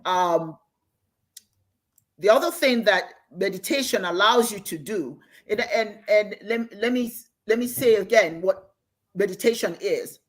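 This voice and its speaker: A woman's voice: medium pitch, a little slow. An older woman leads a meditation lesson. She is an Igbo speaker with a mid-range voice. She speaks earnestly and repeats her main points for emphasis. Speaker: host and spiritual teacher. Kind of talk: livestream teaching on meditation.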